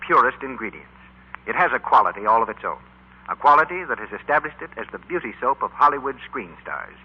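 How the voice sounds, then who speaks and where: A man's voice: medium pitch, husky. A male announcer reading a scripted 1940s radio commercial in a polished, persuasive manner. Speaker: radio announcer for a soap advertisement. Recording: dull lo-fi 1940s radio recording.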